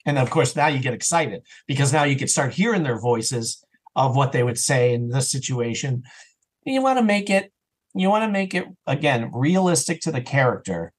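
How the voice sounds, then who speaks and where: A man chatting casually as an interview guest, animated and often voicing his own thoughts as quoted self-talk. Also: medium pitch, moderate pace. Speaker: podcast guest, audio drama creator and voice actor.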